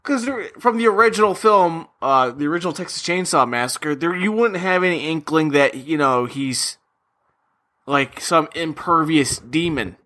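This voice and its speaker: A man co-hosting a podcast in casual film chat, animated and often laughing, swearing freely. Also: a little high, moderate pace. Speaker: podcast co-host.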